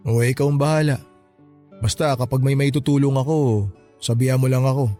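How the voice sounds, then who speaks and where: An actor playing a smooth, scheming lover with a casual, coaxing, teasing manner in a Tagalog radio drama. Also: male voice, mid-range voice, fairly even intonation, fairly quick, dark tone, faint music behind, natural voice. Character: smooth, scheming male lover, a tricycle driver.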